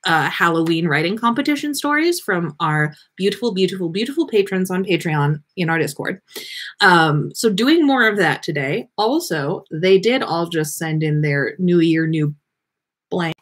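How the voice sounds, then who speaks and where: A woman hosting a livestream in a playful, expressive manner. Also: mid-range voice. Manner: playful, sweary, teasing, expressive. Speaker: podcast host reading fan fiction on a livestream. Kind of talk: casual livestream banter.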